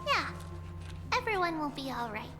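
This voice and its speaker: A woman's voice: high-pitched, very animated, normal speed, over loud music. A woman voicing a mischievous, childlike little girl hero in a video game. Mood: cheerful, tender. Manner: refers to self by name, playful.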